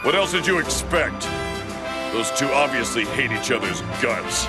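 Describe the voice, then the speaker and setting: A man's voice: mid-range voice, animated, moderate pace, natural voice. A man playing a stern, level-headed warrior in an anime game, speaking seriously and admonishingly. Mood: firm. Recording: music under the voice.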